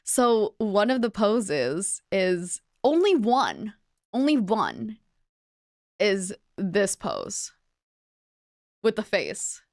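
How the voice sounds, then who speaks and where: Unhurried, bubbly woman livestreamer. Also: mid-range voice, huge pitch swings, darkish tone, no room sound, clean studio sound. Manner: bubbly, chatty, greets viewers by name. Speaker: livestreamer, virtual streamer host. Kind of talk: casual livestream chat with viewers.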